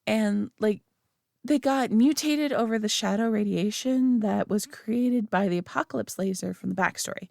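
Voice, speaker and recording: A gushing young woman, a nerdy friend lost in her favorite hobby, as a woman acts her out, chattering on with "like" and soft giggles. Her voice sits at an ordinary middle pitch. It is recorded close and bone dry, with no room sound at all. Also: gravelly, no echo, natural voice. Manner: rambling, eager, full of "like" and giggles. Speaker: voice actor in an audio roleplay. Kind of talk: scripted roleplay monologue to the listener.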